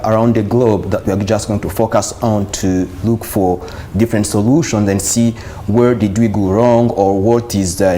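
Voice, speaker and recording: A man's voice: on the low side, warm tone, a little hiss or hum. A man on a panel adding points at length, with some hesitation. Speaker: panel guest. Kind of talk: panel discussion answer.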